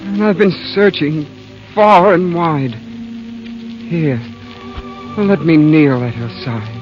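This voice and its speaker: Slow-speaking gallant prince. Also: male voice, on the high side, over music. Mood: solemn, romantic. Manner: formal, poetic, lofty. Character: gallant, romantic young storybook prince. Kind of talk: 1930s radio acting. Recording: muffled vintage 1930s radio recording.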